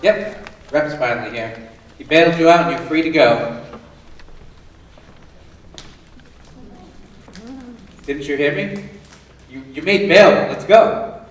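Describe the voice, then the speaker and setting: Distant in a very echoey room, a male actor plays a scoffing, contemptuous jail guard in a staged reading, brusque and impatient. His pitch sits in a middle male range, with faint noise around it. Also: moderate pace, natural voice.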